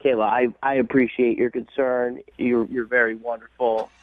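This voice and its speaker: A man's voice: medium pitch, little pitch movement, normal speed, noisy background. A man calling into a radio show, talking casually in a hedging, self-justifying way. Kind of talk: casual phone conversation. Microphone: telephone. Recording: tinny narrow phone line.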